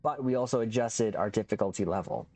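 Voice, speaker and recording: A man's voice: lowish voice, quick pace, a little mellow, no room sound, faint music behind. A man hosting a casual game build walkthrough, upbeat and chatty, addressing his viewers as captains. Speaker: gaming channel host.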